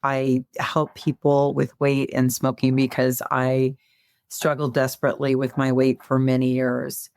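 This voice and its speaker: Raspy woman with a cold. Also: rumbling low, monotone, measured pace, a little mellow, no room sound, studio quality. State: getting over a cold. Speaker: podcast guest, clinical hypnotist. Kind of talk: casual interview chat.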